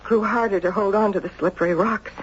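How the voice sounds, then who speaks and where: A woman plays a refined mother haunted by loss, with a medium-pitched voice. Her manner is genteel and confiding, in vintage radio drama style. The recording is dull with faint noise. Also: fairly even intonation, fast talker, close to an everyday voice. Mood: haunted, grieving. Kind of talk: old-time radio drama acting.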